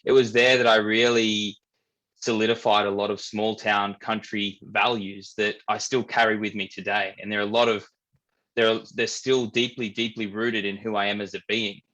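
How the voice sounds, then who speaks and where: Aussie guy, lowish voice, chill and open, talks through his backstory with lots of restarts. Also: moderate pace, muffled recording. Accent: Australian. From New South Wales, Australia. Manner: relaxed, candid, repeats words while thinking. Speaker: men's coach, guest. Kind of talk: casual storytelling interview.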